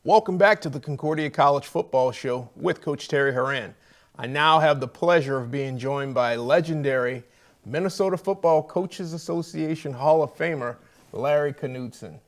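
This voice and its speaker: A man's voice: a little high, moderate pace. A man hosting a sports show, interviewing guests in a casual, informal and chummy way.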